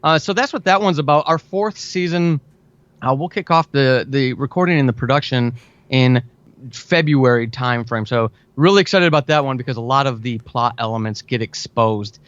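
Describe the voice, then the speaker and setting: A man's voice: medium pitch, normal speed, fairly bright tone, no room sound, muffled recording. An American man talking chattily and with enthusiasm as a guest in a conversational interview, repeating words for emphasis. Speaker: podcast guest, audio drama writer.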